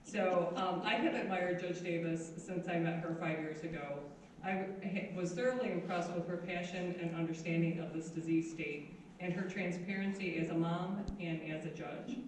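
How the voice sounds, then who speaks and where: A woman's voice: lowish voice, steady tone, normal speed. Woman, earnest prepared intro, very echoey hall, distant, faint noise. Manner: earnest, warm, slightly nervous. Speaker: event organizer introducing the speaker. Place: meeting room.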